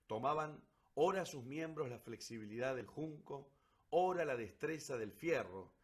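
A man narrating a literary story for radio theatre in a solemn, deliberate, dramatic way. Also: mid-range voice, husky.

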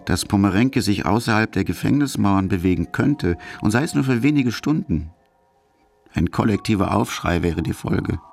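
A man's voice: fairly low pitch, expressive, normal speed, vocal fry, a little mellow, soft background music. A man reading scripted narration for a radio documentary.

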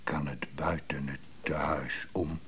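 An actor playing a gruff, sly old craftsman in a care home in a Dutch radio play, blunt and grumbling with a chuckle. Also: extremely low pitch, fairly even intonation, takes it slowly, fairly bright tone, exaggerated voice. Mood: bargaining. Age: older.

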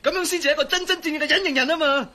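A man's voice: extremely high pitch, rapid-fire, sharp tone. An actor playing a desperate, horrified man in a Cantonese radio drama, overwrought and pleading, with a Hong Kong accent. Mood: anguished. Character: anguished, desperate man horrified at becoming a living skeleton.

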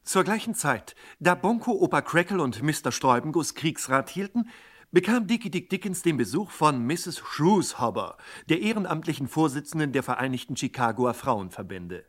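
A man's voice: fairly high pitch, sing-song, moderate pace. A man narrating a scripted radio crime comedy.

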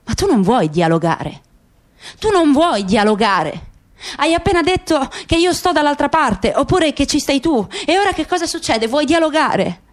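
Woman voicing a calm, ironic father. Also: high voice, normal speed, voice pushed up. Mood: challenging. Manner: subdued, controlled, questioning. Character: calm, ironic adult father, quietly bitter. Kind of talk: literary radio drama acting.

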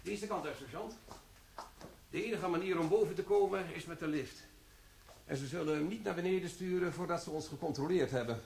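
An actor playing a formal, precise and officious company manager in a radio drama. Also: male voice, on the high side, fairly quick, vocal fry, a little mellow, a little hiss or hum. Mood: brisk, explanatory. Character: formal male security-minded company manager showing a vault.

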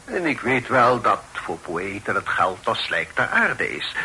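A dignified middle-aged patriarch in an old radio drama. His voice is very bright and forceful with lively swings in pitch, and his phrasing is stately and moralizing, with slight noise on the recording. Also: a little slow, heavily acted. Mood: measured, admonishing. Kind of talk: period radio play acting.

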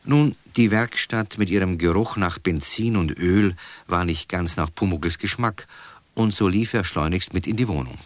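A man's voice: deep voice, normal speed, no echo. A man narrating a scripted story for children.

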